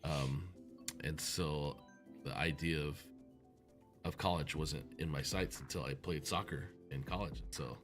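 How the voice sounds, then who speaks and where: A man's voice: rumbling low, steady tone, a little slow, a bit bright, faint music behind. A man from Oklahoma hosting a casual interview, chatty and rambling as he talks. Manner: chatty, rambling, laughs easily. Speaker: podcast host.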